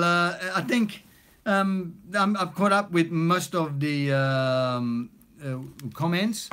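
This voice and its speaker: A man's voice: medium pitch, a bit bright. Man, 40s, non-native English accent; casual, filler-heavy live-stream chat, phone mic in small studio. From Australia. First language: not English. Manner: chatty, reads out viewer comments with many fillers. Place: small home recording studio, indoors. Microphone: phone camera at arm's length.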